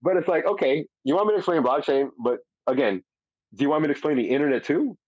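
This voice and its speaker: A man's voice: on the high side, expressive, quick pace, darkish tone, no room sound. A man hosting a casual live audio show, talking in a rambling, profane way with many restarts, heard over a dull, lo-fi online audio chat. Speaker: host.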